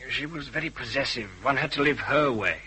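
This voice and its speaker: A man's voice: medium pitch, fairly quick, sharp tone, a little hiss or hum, poor audio. A man playing an anxious, well-bred British husband in a radio drama, formal and stiff as he justifies himself with some hesitation. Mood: earnest, defensive. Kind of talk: radio detective drama acting.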